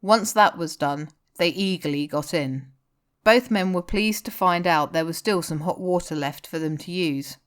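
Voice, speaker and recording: A woman narrates a piece of fiction from a script. Her voice sits a little lower than most women's, and she reads the prose as a storyteller. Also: normal speed, clean tone. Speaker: fan fiction reader.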